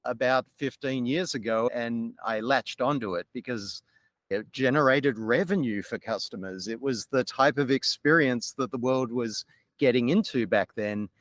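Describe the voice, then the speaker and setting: A middle-aged man with an Australian accent tells his story as a podcast guest, measured and articulate. His voice sits at a middle pitch. The sound is dry and close, with no room around it. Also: fairly bright tone, dry recording. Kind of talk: podcast interview.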